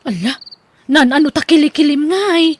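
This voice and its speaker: An actress playing a kind, sympathetic young housemaid in a radio drama, husky, with an Ilocano Filipino accent. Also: female voice, high-pitched, normal speed, a little hiss or hum, close to an everyday voice.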